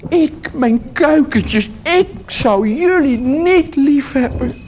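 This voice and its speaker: A man's voice: very high-pitched, lively intonation, takes it slowly, darkish tone, heavily acted. An actor playing a cynical monk who peddles indulgences in a theatrical radio play, mocking and hawking like a showman. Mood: mock pastoral. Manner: hawking, sneering, exaggerated showmanship. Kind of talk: theatrical radio drama acting. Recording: noisy radio play recording.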